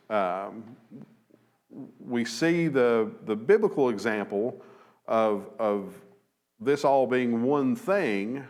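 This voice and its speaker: Slow-talking man teaching a Bible class. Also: medium pitch. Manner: measured, thoughtful, many fillers and restarts. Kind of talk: Bible study lesson. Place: church auditorium at a lectern, slides behind.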